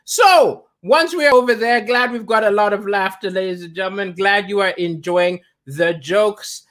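Animated stand-up comic from Malawi. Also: male voice, high-pitched, normal speed. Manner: animated, gesturing, shifts between chatty asides and punchlines. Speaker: comedian and livestream host. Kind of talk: stand-up comedy on a livestream. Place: home kitchen, indoors. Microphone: webcam at desk.

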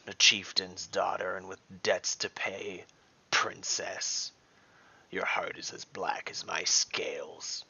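A man voicing a sinister, taunting dragon with a hissing, breathy whisper, speaking slowly and deliberately in an audio story. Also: sits low, fairly even intonation, bright, forceful tone, highs cut off, exaggerated voice. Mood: menacing, contemptuous. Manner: slow, deliberate. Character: sinister taunting male dragon, menacing and mocking.

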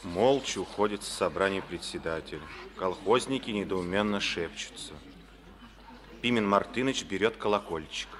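A man narrates an old radio play in a solemn, declamatory way. His voice is low and steady. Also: moderate pace, background noise. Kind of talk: scripted radio drama narration.

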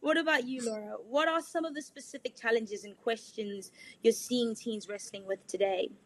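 A woman's voice: on the high side, normal speed, rough recording. A woman moderating a video call panel, effusive and upbeat, with lots of ums. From South Africa. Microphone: video call webcam.

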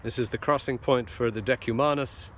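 A man giving impromptu walking-tour commentary outdoors in short, halting, matter-of-fact phrases. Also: medium pitch, steady tone, a bit bright. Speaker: travel vlogger. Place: outdoors at open seaside ruins. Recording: outdoor phone recording with ambient noise.

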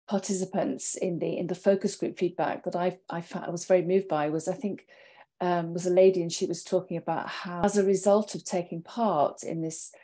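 Mellow, lower-voiced middle-aged woman. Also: steady tone, brisk. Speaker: panelist. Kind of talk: reflective discussion. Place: home study, indoors. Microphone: wired earphones on a video call.

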